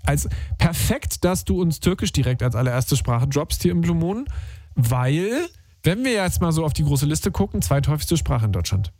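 A man's voice: a little high, very animated, moderate pace, darkish tone, dry recording, studio quality. A man hosting a radio call-in show, chatty and upbeat, using youth slang. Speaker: radio host. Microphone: studio microphone.

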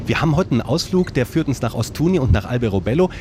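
A man's voice: medium pitch, animated, rapid-fire. A man hosting casual live radio from inside a tour bus, chatting and teasing passengers in a jovial way. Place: inside a moving coach. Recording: clear vehicle noise on location.

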